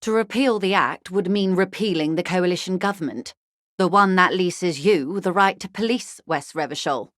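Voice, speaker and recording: An actress playing a bourgeois corporate negotiator in a video game, polite and articulate, with a measured, drily condescending wit. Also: female voice, medium pitch, fairly bright tone, dry recording, clean studio sound, natural voice. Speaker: senior labour negotiator. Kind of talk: video game dialogue voice acting.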